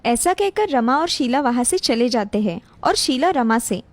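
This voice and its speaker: A woman's voice: fairly high pitch, lively intonation, normal speed, soft background music. A woman narrator reading a scripted cartoon family story.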